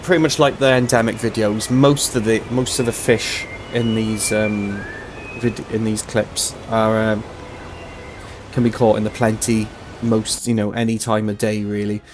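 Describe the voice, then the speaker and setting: A man hosting a casual game walkthrough, his voice a little hoarse and tired, explaining things in a chatty, rambling way. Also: on the low side, noisy background. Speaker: host of a gaming channel.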